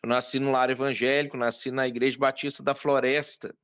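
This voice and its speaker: A man in his thirties from Minas Gerais, a pastor and guest, telling his story in a measured, earnest way with frequent restarts. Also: mid-range voice, little pitch movement, fairly bright tone, clear, resonant tone, no room sound. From Brazil, Minas Gerais. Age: 30s.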